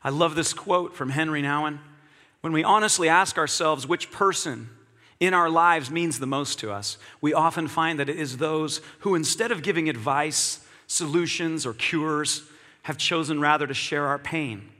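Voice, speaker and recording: Bright-voiced preacher man in a reverberant hall. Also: fairly high pitch, moderate pace. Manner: earnest, reflective preaching with repeated phrases. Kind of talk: sermon.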